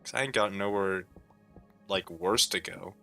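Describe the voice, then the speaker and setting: A tired young man with a sore throat, rambling laid-back and low on a chill stream, with plenty of "like" fillers. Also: unhurried, vocal fry, bright, forceful tone, faint music behind. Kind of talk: casual rambling stream talk.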